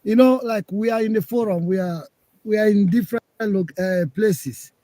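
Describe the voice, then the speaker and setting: A man's voice: high-pitched, normal speed, dark tone, no room sound. A man from Leone, calling into a live discussion and arguing his point, repeating himself. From Sierra Leone. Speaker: caller.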